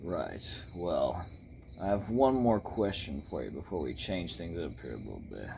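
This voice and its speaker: A dark shock-rock star hosting a talk show, played by a man. His voice sits low and he puts probing questions in a deliberate way. The recording has noticeable chirping noise behind it. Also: big pitch swings, gravelly, heavily acted. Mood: measured, curious.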